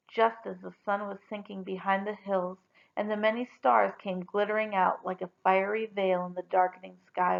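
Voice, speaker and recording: A woman reading a story aloud expressively, with deliberate, dramatic emphasis on key words. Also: mid-range voice, creaky, muffled recording.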